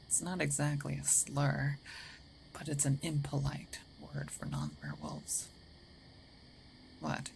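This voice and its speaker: A gruff female werewolf played by a woman speaks very low, with a gravelly creak. She is a territorial yet caring protector, awkward and hesitant, often sinking into half-whispers. It is an intimate ASMR roleplay with faint ambience behind the voice. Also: big pitch swings, takes it slowly, pauses often, darkish tone, slight background noise, full character voice, rough recording. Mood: flustered.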